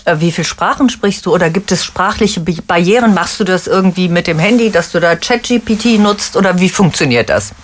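A woman's voice: fairly low pitch, animated, fairly quick, hardly any pauses. A woman interviewer who asks informal, curious follow-up questions.

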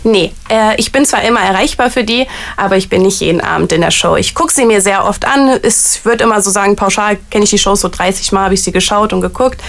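A woman's voice: mid-range voice, brisk, vocal fry, hardly any pauses. A woman talks casually in an interview. She lays out her work as an artist coordinator one step at a time, in a friendly, talkative way. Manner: chatty, explains step by step. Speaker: artist coordinator at a variety theatre.

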